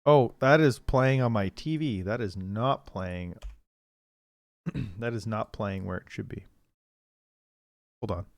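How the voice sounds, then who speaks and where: A man hosting a casual game livestream, thinking aloud haltingly and improvising story prompts as he goes. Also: fairly low pitch, no echo. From Canada. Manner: halting, thinking aloud, frequent throat clearing. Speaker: livestream host.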